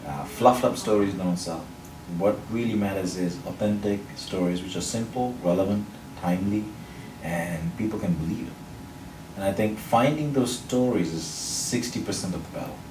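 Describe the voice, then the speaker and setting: Sri lankan guy explaining public speaking, pretty low voice, lists stuff out, echoey room w/ faint hum. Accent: neutral international English, faint Sri Lankan. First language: Sinhala or another Sri Lankan language. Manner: measured, explanatory, builds points in lists. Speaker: public speaking champion, interview guest. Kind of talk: interview answers. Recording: roomy echo, mic at a distance, faint noise.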